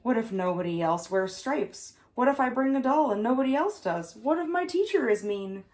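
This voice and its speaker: A woman's voice: on the high side, lively intonation, moderate pace, a little mellow, pitched above the performer's own voice, close to an everyday voice. A woman voicing an anxious little girl mouse in a picture book, with a fretful, questioning manner.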